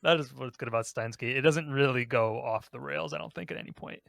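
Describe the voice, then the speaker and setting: A man's voice: mid-range voice, a little fast, creaky, fairly bright tone, dry recording, clean studio sound. A man hosting a casual podcast, chatting conversationally about games. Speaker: podcast host.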